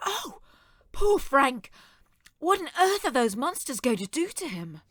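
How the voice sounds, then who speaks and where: An actress playing a droll, complaining old care-home resident with a theatrical manner in an audio drama. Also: female voice, sits high, sing-song, a little slow, fairly bright tone, exaggerated voice. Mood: alarmed. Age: older.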